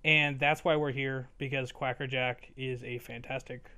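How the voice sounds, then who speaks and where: A young man hosting casually, excitable, slangy and easily distracted. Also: medium pitch, moderate pace, crowd noise. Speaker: host of a fan fiction reading channel. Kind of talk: casual banter introduction.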